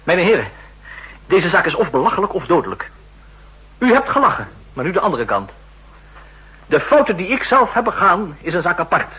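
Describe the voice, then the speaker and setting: An actor playing a driven counter-intelligence official, formal, pointed and emphatic, in a 1960s radio drama. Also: male voice, sits high, big pitch swings, moderate pace, pauses often, pushed and bright, exaggerated voice, low-quality recording. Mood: insistent, biting. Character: driven, insistent male counter-intelligence official making accusations. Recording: rough old 1960s radio recording.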